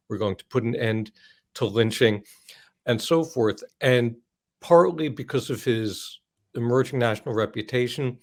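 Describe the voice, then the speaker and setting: An older American man speaking as an author in an interview, explaining things emphatically in long, well-built sentences. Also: mid-range voice.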